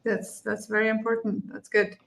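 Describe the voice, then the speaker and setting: Gravelly-voiced woman interviewer. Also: mid-range voice, little pitch movement, moderate pace. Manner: brief affirming replies, loose questions. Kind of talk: casual interview.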